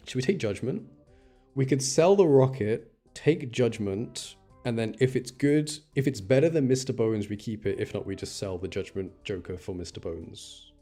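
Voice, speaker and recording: A man's voice: mid-range voice, warm tone. A man giving casual, chatty live game commentary, thinking aloud and correcting himself with mild exasperation. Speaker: game streamer.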